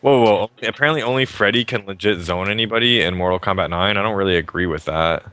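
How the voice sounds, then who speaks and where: A young man hosting a live stream show, talking fast and upbeat about a fighting game and often restarting his sentences. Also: low-pitched, pushed and bright, clear, resonant tone. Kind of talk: casual game commentary.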